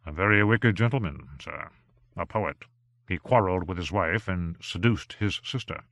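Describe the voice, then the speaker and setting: A soft-spoken, anxious manservant in an audiobook, played by a man. He speaks low and quietly, choosing his words with careful, subdued politeness. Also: normal speed, vocal fry, no room sound, lo-fi sound. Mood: cautious.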